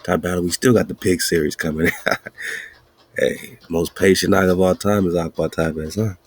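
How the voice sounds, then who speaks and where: A man's voice: low-pitched, fairly even intonation, moderate pace, slightly rough, no echo. A man hosting a podcast, giving an emphatic, chanting, preaching-style teaching monologue, laughing often. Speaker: podcast host.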